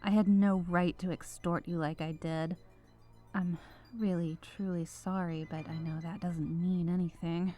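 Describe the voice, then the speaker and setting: Woman playing a soft, low-voiced remorseful witch. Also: little pitch movement, a little slow, natural voice. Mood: remorseful, apologetic. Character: remorseful battle-scarred renegade cyborg witch, ex-soldier. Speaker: ASMR voice performer. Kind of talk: scripted roleplay ASMR monologue. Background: background music.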